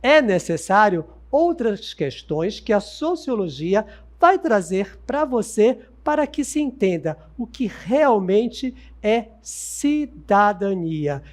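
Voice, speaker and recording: A male sociology teacher from Manaus, speaking. He leads a recorded class from a studio, his pitch rising and falling with lively energy. He talks directly to his students and answers his own questions. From Manaus, Amazonas, Brazil. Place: studio set with Amazon scenery backdrop.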